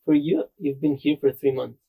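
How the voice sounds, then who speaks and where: A man's voice: a little high, moderate pace, gravelly, slightly rough, narrow, tinny audio. A man with a Spanish accent chatting casually, rambling and filling his speech with "like.". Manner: rambling, with lots of "like". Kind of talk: casual chat.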